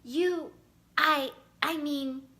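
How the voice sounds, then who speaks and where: A woman voicing an earnest, excitable young schoolboy in a children's storybook. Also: high voice, very slow, voice pushed up, full character voice. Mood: polite, flustered.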